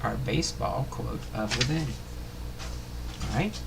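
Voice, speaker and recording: A man hosting a casual livestream, chatting and reading aloud in a halting, unpolished way. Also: mid-range voice, lively intonation. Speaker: livestream host. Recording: clearly noisy room recording.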